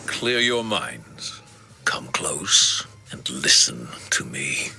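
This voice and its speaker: An actor playing a grave, commanding mastermind in a video game, speaking in a deliberate, solemn way. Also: male voice, extremely low pitch, very animated, vocal fry, pushed and bright, whispered, soft background music, exaggerated voice. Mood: grave, measured. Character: grave, commanding male mastermind urging decisive action. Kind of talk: scripted video game cutscene acting. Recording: long hall reverb, faint noise.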